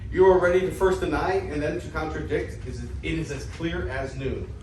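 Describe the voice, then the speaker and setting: Man playing an excitable old father, echoey and distant. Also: a little high, normal speed, natural voice. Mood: doting. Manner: theatrical, exclamatory, stumbling over words. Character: excitable, anxious old debtor father, scheming and gloating. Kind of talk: amateur dramatic play reading. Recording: echoey room, distant mic, faint noise.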